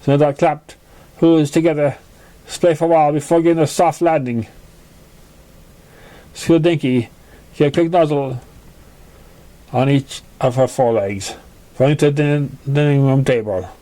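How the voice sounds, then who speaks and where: A man's voice: fairly high pitch, steady tone, a little slow, pauses often, mellow. A man narrating a scripted story, sometimes stumbling over the words as he reads. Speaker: fan fiction reader.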